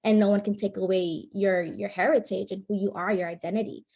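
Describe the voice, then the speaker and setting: A woman's voice: mid-range voice, steady tone, fairly quick, dark tone, lo-fi sound. Friendly young woman from New York. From New York, United States. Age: young adult. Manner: friendly, laughs often, many fillers. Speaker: panel guest. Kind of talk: casual panel talk.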